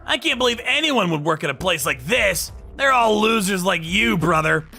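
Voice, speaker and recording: A man's voice: high-pitched, lively intonation, normal speed, creaky, bright, forceful tone, husky, soft background music, pitched above the performer's own voice, exaggerated voice. A man voicing an arrogant, whining younger brother, an overexcited salesman, in a dramatized story. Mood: mocking. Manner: sneering, overexcited, pleading.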